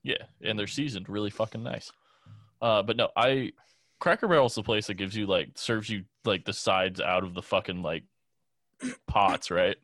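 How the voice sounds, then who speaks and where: A man's voice: fairly low pitch, normal speed, a bit bright, no echo, studio quality. A man co-hosting a casual podcast, swearing freely and rambling through stories. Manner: profane, rambling storytelling. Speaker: podcast co-host. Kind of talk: casual chat. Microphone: home podcast mic.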